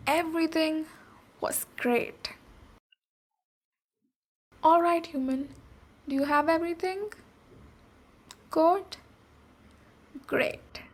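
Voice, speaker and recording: High-voiced, unhurried ogre warrior woman played by an actress. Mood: friendly. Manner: unhurried, deliberate, pausing between phrases. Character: boisterous female ogre warrior, protective of her human servant. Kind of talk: ASMR roleplay, storytelling and direct address.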